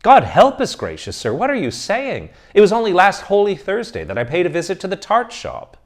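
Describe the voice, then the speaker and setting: A man voicing a nervous, excitable young male student of philosophy who protests in flustered exclamations. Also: mid-range voice, a little fast, voice pushed up. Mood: astonished.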